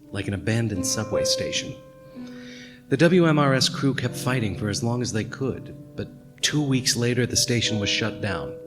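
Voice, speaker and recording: A man's voice: lowish voice, close to an everyday voice. A man playing a wistful narrator looking back, in scripted radio drama narration. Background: plucked guitar music.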